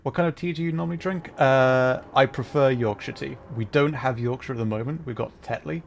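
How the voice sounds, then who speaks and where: Male VTuber streamer: mid-pitched, chatty and rambling, casual gameplay talk with faint noise behind. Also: fairly quick, vocal fry. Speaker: livestreamer, VTuber. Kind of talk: casual gameplay commentary and chat. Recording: faint background noise, game ambience.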